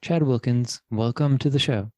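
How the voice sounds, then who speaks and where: A man's voice: mid-range voice, moderate pace, darkish tone, no room sound, studio quality. A man co-hosting a podcast, asking questions in a laid-back way with many fillers. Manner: laid-back, filler-heavy, laughs easily. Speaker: podcast co-host. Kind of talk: casual interview chat.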